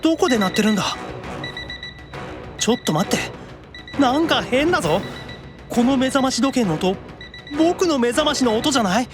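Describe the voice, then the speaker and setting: An animated young demon brother from an anime audio drama, irritable and easily flustered. He swings widely in pitch with exasperated, dramatic cries, over prominent music. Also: normal speed, pauses often. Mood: puzzled.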